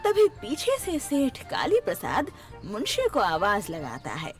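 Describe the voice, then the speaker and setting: A woman's voice: mid-range voice, huge pitch swings, a little slow, faint music behind. A woman narrating a scripted cartoon story.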